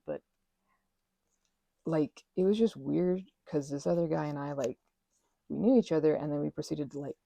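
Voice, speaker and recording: Low husky lady rambling on, lots of likes and ums, mellow dark tone. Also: no room sound. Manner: soft, meandering, many fillers and self-corrections. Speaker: ASMR channel host. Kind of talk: rambling personal chat. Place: quiet room at a craft table.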